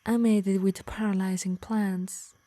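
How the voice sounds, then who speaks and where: A woman with an Italian accent playing a possessive, obsessed harpy in an ASMR roleplay, soft and breathy, slipping into whispers, both tender and menacing. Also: medium pitch, level tone, a little slow, dry recording, natural voice. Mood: smug, menacing. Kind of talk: intimate ASMR roleplay acting.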